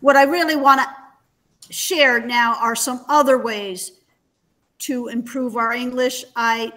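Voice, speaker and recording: Woman, webinar host, raised pitch, crisp bright tone, conversational delivery.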